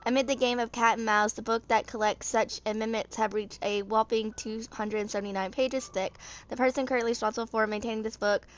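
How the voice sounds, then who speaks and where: Girl in her 20s reading game lore out loud, kinda high voice, very flat, trips over words. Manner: halting, stumbling reading. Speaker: fan video creator.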